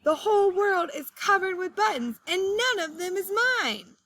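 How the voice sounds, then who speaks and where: A woman's voice: very high-pitched, expressive, normal speed, a bit bright, pitched above the performer's own voice. A woman voicing a fretful, easily exasperated male toad in a storybook, complaining as he goes. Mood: furious. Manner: exclaiming, complaining.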